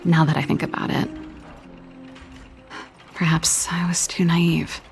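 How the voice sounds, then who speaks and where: A young, spirited big sister from a video game, an inventor and musician. Her voice sits a little low with a gravelly edge. She is teasing and expressive, with a wistful streak, over soft background music. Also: measured pace. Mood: wistful, regretful. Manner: breezy, expressive, with sighs and trailing reflection.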